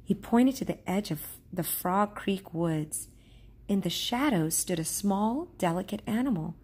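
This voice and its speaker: A woman reading a children's storybook aloud as a teacher would, in a measured, careful storytelling manner. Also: mid-range voice, expressive, darkish tone, husky.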